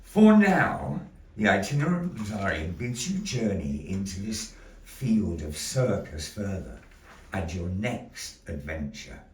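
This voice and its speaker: A man's voice: sits low, very animated, slow. A man presenting a puppet show as a grandiose, old-fashioned showman, telling stories theatrically to a live audience. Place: gallery live performance, recorded from a distance. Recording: roomy, distant mic with background noise.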